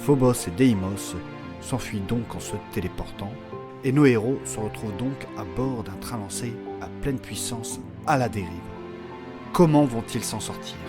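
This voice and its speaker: A man's voice: medium pitch, moderate pace. A man giving scripted narration and announcements as the narrator of an audio fiction series. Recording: music bed under the voice.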